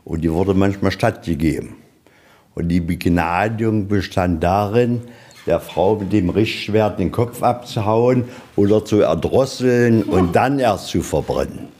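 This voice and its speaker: Low, mellow-voiced man guiding a tour. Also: unhurried, a little hiss or hum. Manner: matter-of-fact, colloquial, rhetorical questions. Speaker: museum guide. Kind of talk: guided tour explanation, informal storytelling. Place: inside a museum, walking tour.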